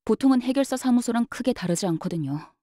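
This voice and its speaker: A woman voicing a brisk young female knight-bodyguard in a game, polite but curt, with a faintly sardonic, matter-of-fact delivery. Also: fairly high pitch, lively intonation, warm tone, no echo, clean studio sound. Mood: confident, wry. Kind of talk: scripted game character voice lines.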